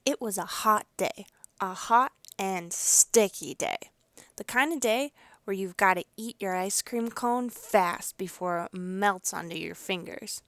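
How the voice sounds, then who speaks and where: Woman reading a kids book out loud, expressive, dry close sound. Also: mid-range voice, unhurried, dry recording. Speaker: read-aloud storyteller. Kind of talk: scripted children's storybook narration.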